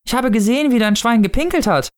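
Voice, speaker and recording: A man reading a storybook as a small, excited, blunt child with a wide-eyed manner. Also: normal speed. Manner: high-pitched, wide-eyed.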